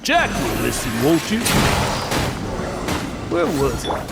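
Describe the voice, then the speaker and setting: Game adventurer, self-styled living legend: slow, grandiose bragging, highly animated pitch, loud music underneath. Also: male voice, creaky, full character voice. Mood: grandiose storytelling. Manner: bragging, theatrical, drawn-out delivery. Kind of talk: video game voice acting.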